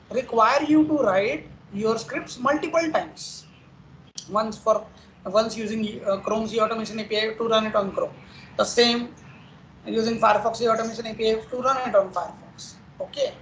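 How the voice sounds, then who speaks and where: An instructor from India, patiently explaining software testing in a recorded lecture and often checking in with "okay" and "right". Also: medium pitch. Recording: roomy echo, faint background noise.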